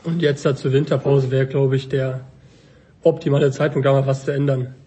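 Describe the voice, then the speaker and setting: A man's voice: mid-range voice, flat delivery, fairly quick, mellow, slightly rough. A man sharing matter-of-fact opinions in a casual football debate. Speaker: panel guest.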